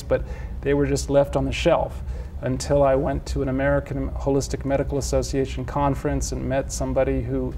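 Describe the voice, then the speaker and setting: A middle-aged man from Switzerland talks in a mellow, warm tone, laying out his answers in a measured, explanatory way and often restarting phrases. He sits for an interview as a physician and homeopath, with a faint hiss underneath his voice. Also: medium pitch.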